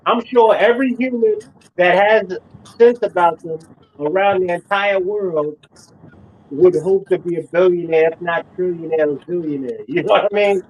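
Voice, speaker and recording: A man's voice: sits high, normal speed, a little mellow, poor audio. A man in his forties talking casually, repeating phrases for emphasis as he makes his point. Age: 40s. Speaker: host. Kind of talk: casual chat.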